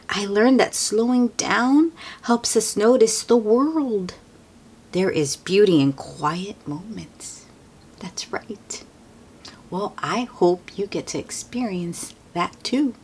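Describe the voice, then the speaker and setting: A woman reading a picture book aloud to children as host, expressive and often hushed, with friendly asides to young listeners. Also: mid-range voice, slightly rough.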